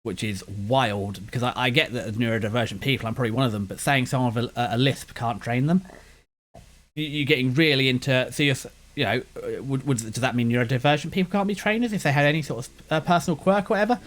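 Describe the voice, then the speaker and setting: A man's voice: medium pitch, fast talker. Rambling man with a London accent. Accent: London English. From West London, England. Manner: words blur together, slight lisp; meandering with frequent restarts. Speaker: anime channel host. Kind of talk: casual rambling chat.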